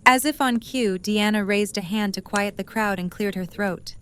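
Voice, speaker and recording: A woman narrator with a medium-pitched voice reads scripted prose. She tells the story between the lines of an audio drama. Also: normal speed, a bit bright, a little hiss or hum.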